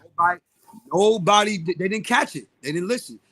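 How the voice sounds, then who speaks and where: A man with a Brooklyn accent talks in a podcast interview. His voice sits a bit on the high side. He is outspoken and emphatic, hammering points home by repeating phrases. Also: expressive, normal speed, a bit bright. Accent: Brooklyn, New York. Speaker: guest, singer and music producer. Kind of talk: live podcast interview talk.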